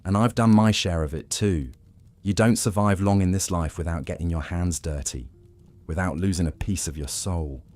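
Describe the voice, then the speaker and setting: A man voicing a grizzled, world-weary old pirate with a rough, raspy voice, telling grim tales in sailor dialect for a story. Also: sits low, moderate pace, a little mellow, faint music behind, natural voice. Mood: grave.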